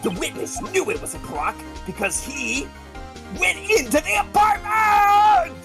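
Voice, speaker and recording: An amateur player voicing a brash young male defense attorney in a courtroom video game, emphatic and shouting objections. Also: extremely high pitch, wildly swooping pitch, unhurried, vocal fry, over music, voice pushed up, heavily acted. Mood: triumphant, accusing.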